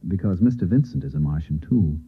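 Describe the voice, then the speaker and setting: Fast-talking, husky radio-drama newspaperman. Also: sits low, animated, exaggerated voice. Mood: earnest, insistent. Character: insistent, earnest newspaperman narrating his strange night. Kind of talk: old-time radio drama acting. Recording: muffled, narrow-band old radio recording.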